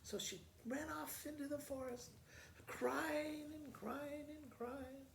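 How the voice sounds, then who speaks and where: A woman reading a storybook aloud as a school principal, retelling it in a halting, informal, folksy way. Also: high-pitched, vocal fry. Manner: halting, informal, self-correcting. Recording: roomy echo with faint background noise.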